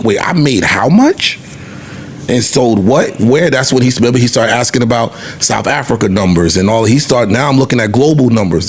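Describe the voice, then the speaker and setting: A man's voice: medium pitch, slight background noise. An American man in casual podcast chat, speaking informally and acting out other people's reactions.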